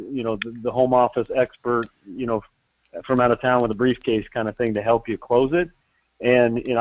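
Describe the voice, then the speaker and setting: A man's voice: mid-range voice, a little mellow, highs cut off, slight background noise. A man leading a sales team meeting, talking loosely and encouragingly with many fillers and restarts. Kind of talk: casual business meeting talk.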